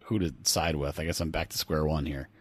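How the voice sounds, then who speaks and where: A man's voice: extremely low pitch, little pitch movement, a little fast, dry recording, clean studio sound. An American man chatting casually as a comedy podcast co-host, riffing with wry, exasperated, hedging commentary. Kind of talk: casual comedic chat, riffing on a news story.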